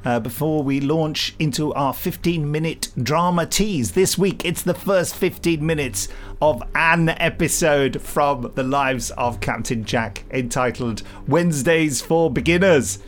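A man's voice: on the high side, a little slow, slight background noise. A middle-aged man with an English accent co-hosting a podcast, rambling playfully between jokey chat and mock-grand scripted announcements. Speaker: podcast co-host.

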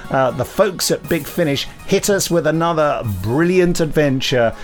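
A man presents a podcast with some music behind him. His voice sits a little high, and he talks in a chatty, rambling style with dry, self-deprecating wit. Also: moderate pace. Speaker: podcast co-host.

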